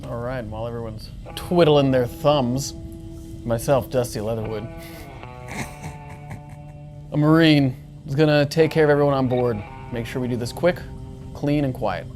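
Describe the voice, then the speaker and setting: A man's voice: medium pitch, normal speed, long pauses, darkish tone. Guy playing a marine in a tabletop game, clipped and businesslike, bit of music behind. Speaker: tabletop player as marine character. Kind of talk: casual in-character roleplay. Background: faint instrumental music.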